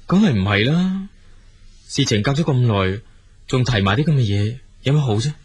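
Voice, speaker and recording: An actor playing a busy, evasive brother-in-law in a Cantonese radio drama, with a Hong Kong accent. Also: male voice, mid-range voice, animated, moderate pace, slightly rough, dry recording. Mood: defensive.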